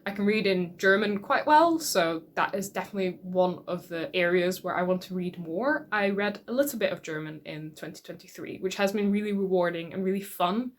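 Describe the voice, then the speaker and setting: A woman's voice: lowish voice, fairly quick, few pauses. A woman from the Netherlands whose native language is Dutch, chatting casually and reflectively to her viewers as a book YouTuber. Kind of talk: casual talk to camera about reading.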